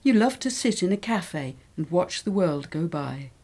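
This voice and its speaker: A woman reading model texts aloud for English learners, with a clear and careful delivery. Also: fairly low pitch, lively intonation, unhurried, a little mellow.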